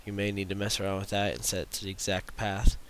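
A man presenting a software demo as a casual voice-over, explaining in an informal, unhurried way. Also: low voice, monotone. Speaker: tutorial presenter demonstrating own script. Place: voice-over for a screen recording. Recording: hissy voice-over recording.

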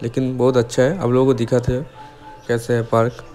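A man's voice: mid-range voice, little pitch movement, a little fast, a little mellow, soft background music. Chatty young man vlogging from a motorbike. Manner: chatty, informal, addresses viewers as guys. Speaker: motovlogger. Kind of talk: casual vlog commentary while riding. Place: outdoors on a village road, riding a motorbike.

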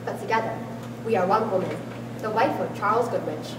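A woman's voice: medium pitch, a little fast, close to an everyday voice. A young woman in an amateur stage play, playing a wife's raw, passionate, bitter inner self with emphatic, agitated delivery. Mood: intense, earnest. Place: large school hall with small audience. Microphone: far camera. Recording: echoey hall, distant camera, loud room noise.

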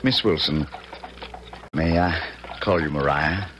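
An actor playing an earnest, awkward colonel in love, halting and formal, in a 1970s radio drama. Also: male voice, very deep voice. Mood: earnest, smitten. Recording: noisy 1970s radio recording.

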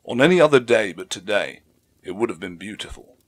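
A man's voice: fairly low pitch, expressive, fairly quick. A man narrating a fantasy battle story as a scripted, dramatic audiobook reading.

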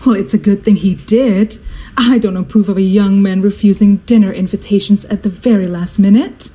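An actress playing a fussy, imperious, status-hungry society mother in a radio drama, theatrical and exclamatory, with a husky voice. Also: mid-range voice, steady tone, normal speed, dark tone, natural voice, poor audio. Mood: fretful.

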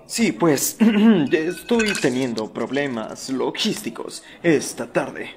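A man's voice: medium pitch, big pitch swings, slow, slight background noise. A man with a Latin American accent voicing a flirty, awkward, rambling male cat in a cartoon dub. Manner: bumbling, flirtatious.

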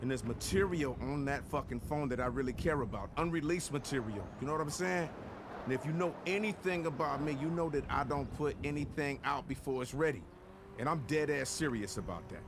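A man's voice: medium pitch, a little fast, noisy background, natural voice. An actor playing an irritable, commanding male music mogul in a video game, curt, emphatic and sweary. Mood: stern. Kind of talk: video game cutscene acting.

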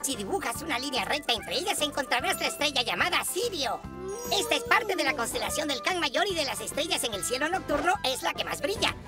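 A woman reading scripted educational narration for a children's cartoon. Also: high voice. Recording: music behind the voice.

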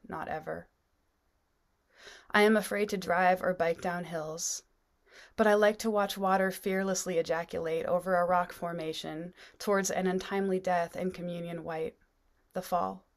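Female poet, thirties, lower register, deliberate phrasing, reading aloud from home. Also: gravelly. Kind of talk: poetry reading. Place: small room at home, on a video call.

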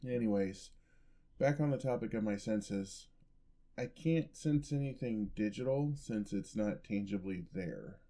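A man playing a gentle, sheepish, affectionate headless horseman boyfriend in a soft-spoken ASMR roleplay, with hesitant delivery. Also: on the low side, animated, unhurried. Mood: mildly exasperated. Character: gentle, clumsy male dullahan boyfriend, centuries old. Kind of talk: ASMR roleplay, intimate acted monologue.

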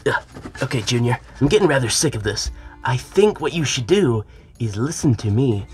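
A man's voice: medium pitch, expressive, moderate pace, breathy edge, soft background music. A man voicing a fussy, put-upon turtle wizard servant in a comic skit, stiffly formal and exasperated. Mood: stern, exasperated.

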